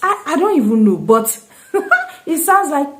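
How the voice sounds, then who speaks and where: A woman's voice: sits high, sing-song, unhurried. A young woman with a Nigerian accent, chatting casually to her viewers in a rambling, laughing vlog style. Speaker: vlogger. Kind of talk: casual vlog chat to camera.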